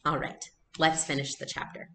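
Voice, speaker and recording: A woman's voice: deep voice, little pitch movement, moderate pace, bright, forceful tone, reverberant, slight background noise. A young adult woman giving a read-aloud lesson as a teacher, explaining and narrating, recorded at home on a computer mic. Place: small furnished room at home.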